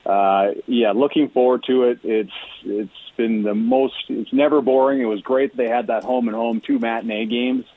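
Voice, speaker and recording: Male radio guest, sports reporter type, conversational and a bit halting, with dull narrow-band sound. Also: mid-range voice, lively intonation, gravelly. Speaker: hockey team reporter and podcaster, radio guest. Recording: dull lo-fi radio call-in audio.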